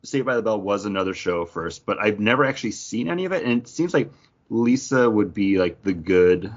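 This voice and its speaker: A man's voice: lowish voice, expressive, brisk, lo-fi sound. A man co-hosting a podcast, chatting casually as he reasons out quiz answers. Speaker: podcast co-host, quiz contestant.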